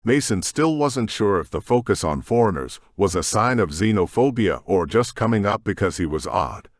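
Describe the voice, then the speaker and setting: Low-voiced male audiobook narrator. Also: animated, moderate pace, no room sound, studio quality. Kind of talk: scripted narration.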